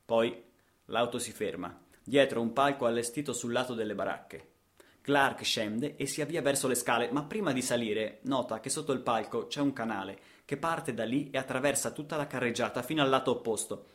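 A man telling a story as a radio theatre narrator, in deliberate, theatrical phrases with repetition. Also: medium pitch.

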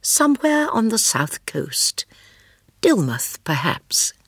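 An older woman playing a gentle, shrewd elderly lady sleuth in a radio drama, speaking in a measured, earnest, genteel way. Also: mid-range voice, sing-song, husky, no echo, clean studio sound, heavily acted. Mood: reflective. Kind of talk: radio drama acting and first-person narration.

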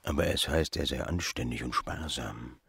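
An actor playing a shrewd, easygoing old farmer, muttering his thoughts in a gravelly, husky voice in a radio play. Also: a bass voice, normal speed, a bit bright, dry recording, clean studio sound, heavily acted. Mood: musing, calculating. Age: older. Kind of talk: radio play acting, thinking aloud.

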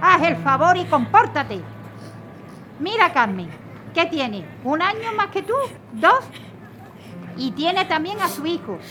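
A woman's voice: very high-pitched, sing-song, slow, long pauses, over music, exaggerated voice. An actress playing a weary, firm mother who scolds her daughter in a radio drama. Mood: scolding. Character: weary, stern mother fleeing on foot.

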